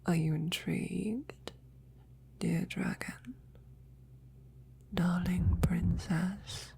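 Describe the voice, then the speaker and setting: A woman playing a devoted, flirtatious female knight in an intimate roleplay, with a breathy, husky, often whispering voice and courtly phrasing. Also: lowish voice, very slow, dark tone, full character voice. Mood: teasing, flirtatious. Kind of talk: intimate roleplay audio, ASMR.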